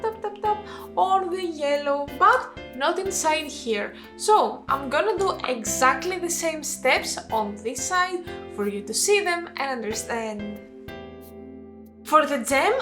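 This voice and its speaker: A Greek-accented woman explains a makeup routine step by step in a chatty, self-correcting manner. Her voice sits high, and the room around her is echoey. Faint music plays under her talk. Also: sharp tone. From Greece. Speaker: makeup artist and channel host. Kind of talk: narrated makeup tutorial.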